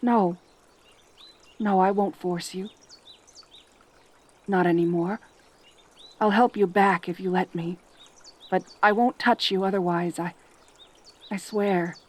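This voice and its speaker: Girl doing a lonely monster girl centaur for asmr roleplay, talks slow, sighs a lot, desperate and caring. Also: mid-range voice, fairly even intonation, a little mellow. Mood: gentle, pleading. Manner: halting, pleading, sighing delivery. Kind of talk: roleplay ASMR audio drama.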